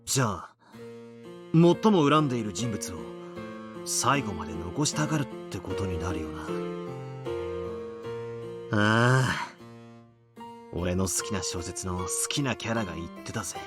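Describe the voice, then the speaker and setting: An actor playing a brash young amateur detective in a visual novel, speaking in a rough, casual, dramatic way. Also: male voice, lowish voice, expressive, fairly quick, pauses often, music in the background, close to an everyday voice. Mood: brooding, reasoning. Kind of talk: Japanese visual novel voice acting.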